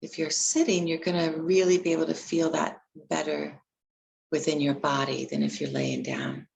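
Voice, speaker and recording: A woman leading a guided meditation, giving gentle, unhurried instructions with long pauses between phrases. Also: low-pitched, animated, vocal fry, poor audio.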